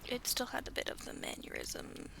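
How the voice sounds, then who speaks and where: A woman's voice: moderate pace, heavy background noise. A woman whispering as she plays a game, reading in-game messages aloud with hesitant asides. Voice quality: whispery and creaky. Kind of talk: soft whispered game playthrough.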